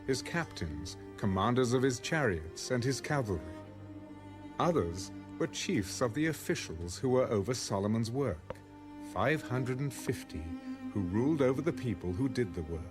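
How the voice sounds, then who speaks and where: A man's voice: mid-range voice, very animated, normal speed. A man narrating scripture in a scripted, dramatic reading style. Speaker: audio Bible narrator. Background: music.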